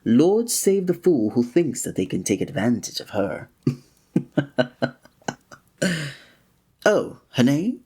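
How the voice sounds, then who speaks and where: A man playing a flirty, breathy innkeeper. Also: medium pitch, very animated, takes it slowly, long pauses, dark tone, exaggerated voice. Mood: amused. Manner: formal old-fashioned wording with chuckling asides. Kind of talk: ASMR roleplay.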